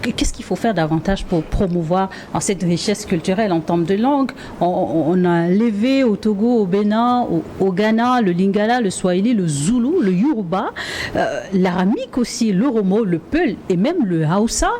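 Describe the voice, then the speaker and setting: A woman with a mellow, warm tone puts measured questions to her guest. Faint background noise runs under her voice. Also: mid-range voice, animated. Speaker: interviewer. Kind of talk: interview questions.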